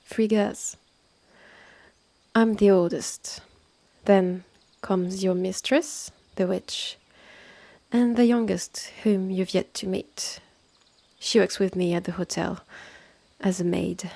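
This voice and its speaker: A woman plays a calm, immortal healer and protective elder sister in an ASMR fantasy roleplay. She has a French accent and a mellow, breathy voice that often slips into whispers. Her delivery is slow and soothing. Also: medium pitch, no room sound. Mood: confiding, matter-of-fact.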